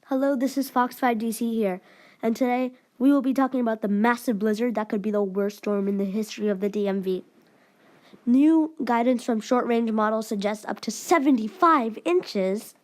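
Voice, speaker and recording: A woman giving mock emergency news announcements in a formal but halting, amateur way. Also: a little high, darkish tone.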